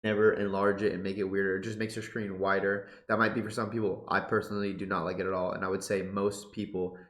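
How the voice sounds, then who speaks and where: A man's voice: low-pitched, quick pace, roomy sound. Congested young man commenting on a shooter game. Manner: laid-back, excitable bursts during play. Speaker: competitive gaming YouTuber. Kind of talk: casual gameplay commentary. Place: home gaming setup. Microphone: headset with boom mic.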